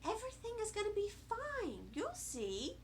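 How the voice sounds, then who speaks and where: A woman voicing a firm but soothing mother with a measured, parental manner in a storybook reading. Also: sits high, very animated, pushed and bright, slight background noise, exaggerated voice. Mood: reassuring. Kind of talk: children's storybook read aloud.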